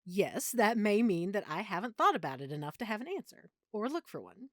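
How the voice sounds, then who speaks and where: An affectionate girlfriend with strong opinions and a nerdy streak, acted by a woman for an audio roleplay. Her pitch moves animatedly as she explains things in a playful, fussy way. The sound is dry and intimate, like a one-sided chat between partners. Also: very animated, brisk, a bit bright, no room sound. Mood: animated, opinionated.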